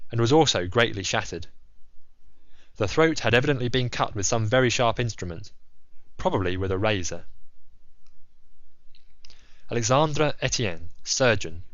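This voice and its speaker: A man reading scripted audiobook narration in a measured, formal and grave manner. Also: lowish voice, fairly bright tone, no room sound, muffled recording.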